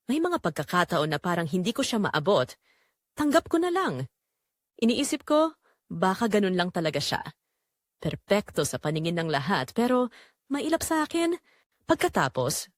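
A woman playing a betrayed young wife in an audio drama, narrating her heartbreak in earnest, measured, confessional phrases. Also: fairly low pitch, no room sound, studio quality. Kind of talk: scripted first-person dramatic narration.

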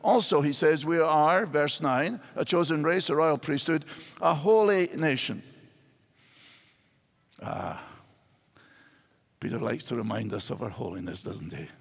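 A man's voice: a little high, expressive, a little slow, vocal fry, pauses often, breathy edge. An older man with a Scottish accent, preaching a sermon from a church stage with wide gestures. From Scotland. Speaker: pastor. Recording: hall reverb in a large church.

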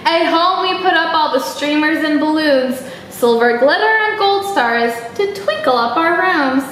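Young female children's storytime reader, high-pitched and unhurried, animated and encouraging, addresses kids directly. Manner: animated, exaggerated, encouraging, asking the listener questions. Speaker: library storytime host. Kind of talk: children's storytime read-aloud, chatting and singing to young viewers. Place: small indoor room. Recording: echoey room with faint background noise.

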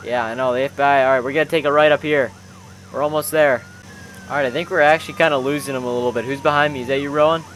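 A man's voice: mid-range voice, fairly even intonation, racing, fairly bright tone, slight background noise, poor audio. A young man commentating on gameplay casually with friends, exclamatory and full of slang like bro and dude. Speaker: gaming video host.

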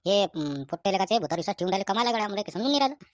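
Woman voicing a fast-talking rustic village lad. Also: mid-range voice, lively intonation, a loud crowd, close to an everyday voice. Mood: amused, incredulous. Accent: rural Vidarbha Marathi. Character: excitable, superstitious young village man, gossiping. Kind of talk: rustic comic cartoon dialogue.

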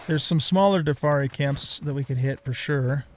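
Older guy doing a let's play, kinda higher voice, talks it through casually, bit of noise behind him. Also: moderate pace. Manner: informal, mild swearing, thinking aloud. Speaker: gaming streamer, let's player. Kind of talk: casual video game commentary.

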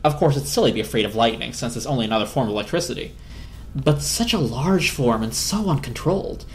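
A man's voice: medium pitch, expressive, quick pace, slight background noise. A man narrating a children's story as a dramatic reading.

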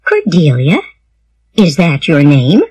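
A woman's voice: medium pitch, sing-song, takes it slowly, husky, no echo, full character voice. Woman playing a firm, practical farm woman. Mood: softening. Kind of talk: storybook character voice.

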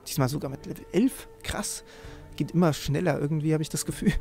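A man with a creaky voice hosting a let's play, commenting on the game and reading quest text aloud. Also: a little high, steady tone, fairly quick, a little mellow. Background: game music.

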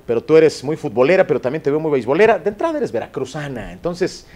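A man's voice: a little high, big pitch swings, fast talker, a little mellow. A middle-aged Mexican man, an effusive and teasing podcast host, chatting casually into a handheld microphone. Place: small indoor room with stone wall.

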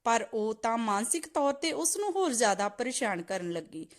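High-pitched, animated woman storyteller. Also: normal speed. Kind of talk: scripted moral story narration.

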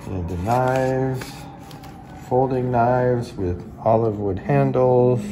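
A man's voice: mid-range voice, very slow, noisy background. A man, chatting casually in a large studio room. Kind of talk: casual chat. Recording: music over the voice.

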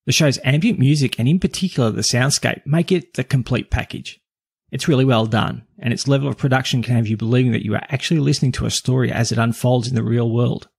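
Male podcast host, scripted review read, raspy with vocal fry. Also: mid-range voice, fast, no room sound, clean studio sound.

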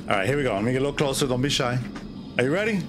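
A middle-aged man hosting a livestream, chatting casually and reading a horror story in a drawn-out, theatrical way with pauses. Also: mid-range voice, very animated. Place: indoor streaming setup. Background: music.

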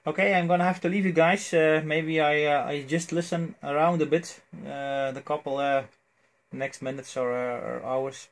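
A man with a Belgian accent chats casually as an amateur radio operator, phrasing things haltingly. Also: fairly high pitch, fairly even intonation. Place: indoors at a cluttered electronics desk. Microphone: cell phone near the desk.

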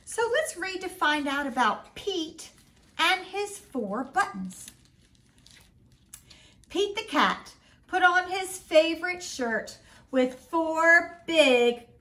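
A middle-aged woman reading a picture book aloud to children in an expressive, deliberate way. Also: sits high. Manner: expressive, slow and deliberate for children, with sing-song refrains. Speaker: school principal, guest story reader. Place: small home room, indoors.

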